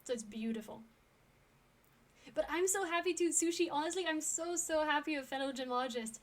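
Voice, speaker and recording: Young french-american woman streaming, high voice, chatty and giggly. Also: fairly quick. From France. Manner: chatty, frequent ums and laughs. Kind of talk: casual chat with viewers.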